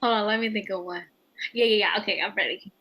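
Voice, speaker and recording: Gravelly-voiced woman practice judge. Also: medium pitch, fast talker, bright, forceful tone.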